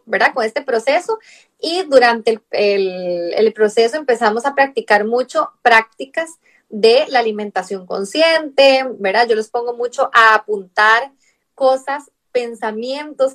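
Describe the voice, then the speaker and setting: A woman's voice: a little high, fairly bright tone. Costa Rican woman nutritionist explaining. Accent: Costa Rican Spanish. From Costa Rica. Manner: explanatory, fills with rhetorical "¿verdad?". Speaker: nutritionist guest. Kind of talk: conversational interview.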